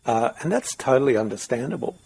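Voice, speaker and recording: A man's voice: medium pitch, animated, fast, creaky, a little mellow, a little hiss or hum, low-quality recording. A middle-aged man, hosting a podcast interview in a rambling, thinking-aloud way. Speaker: podcast host. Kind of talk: casual interview.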